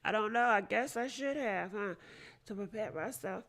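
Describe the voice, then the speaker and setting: A woman's voice: medium pitch, moderate pace, a bit bright. A woman hosting a casual live talk show, her nose stuffed up, talking frankly and swearing as she muses aloud. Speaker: host.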